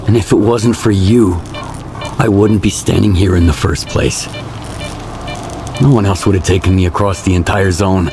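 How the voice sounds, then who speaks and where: An actor playing a resolute, stoic male loner in a video game cutscene, with a terse, grave, measured delivery. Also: low voice, steady tone, music in the background. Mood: grave, resolved. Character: resolute, stoic male lone stalker of the wasteland.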